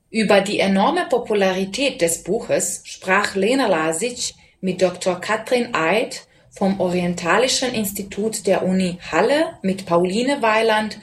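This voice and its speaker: A young woman presents a radio programme from a prepared script. Her voice sits at a middle pitch, and she reads clearly and evenly, like a student host guiding listeners through the show. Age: young adult.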